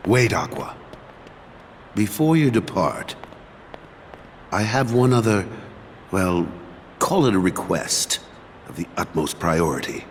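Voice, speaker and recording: A man playing a stern but caring middle-aged master in a fantasy game cutscene, speaking in formal, solemn, measured phrases. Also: sits low, expressive, a little hiss or hum. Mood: grave, concerned. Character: stern, caring older master of young warriors. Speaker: mentor and master. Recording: music loud behind the voice.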